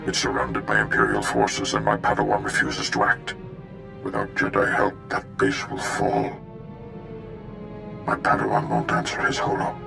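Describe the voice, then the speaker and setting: An actor voicing a wise old male Jedi master in a video game, speaking in a measured, earnest way. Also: sing-song, over music, heavily acted. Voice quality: deep gravelly. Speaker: Jedi master on a holo call.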